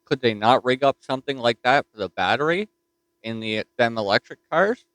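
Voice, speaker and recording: A man's voice: medium pitch, expressive, normal speed, fairly bright tone, slight background noise, rough recording. Folksy Newfoundland man on ham radio. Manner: chatty, folksy, restarts and filler words. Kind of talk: casual radio chat. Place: home radio shack. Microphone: desktop mic.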